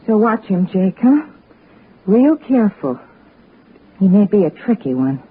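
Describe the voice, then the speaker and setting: Rifle-toting ranch woman: young, American West, rough-edged voice, plain-spoken, narrow vintage radio drama sound. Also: mid-range voice, very animated, measured pace, full character voice. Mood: commanding, wary. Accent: American Western. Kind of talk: 1960s radio drama acting. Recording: muffled narrow-band old radio recording.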